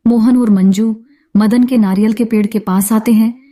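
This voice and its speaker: Very dark-voiced woman narrator. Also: a little high, steady tone, moderate pace. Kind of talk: scripted story narration.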